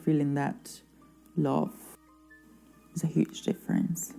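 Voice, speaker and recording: A man giving soft, hesitant documentary testimony and often correcting himself. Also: a little high, little pitch movement, slow pace, vocal fry, rough recording. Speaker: asylum seeker interviewee. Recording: faint music bed underneath.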